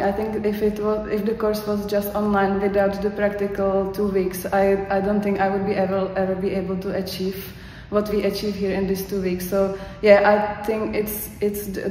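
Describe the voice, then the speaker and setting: A woman's voice: mid-range voice, warm tone, a little hiss or hum. A young woman with a Czech accent giving a hesitant, unscripted testimonial, full of fillers and restarts. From Czech Republic. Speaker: course graduate giving a testimonial. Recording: echoey hall with faint background ambience.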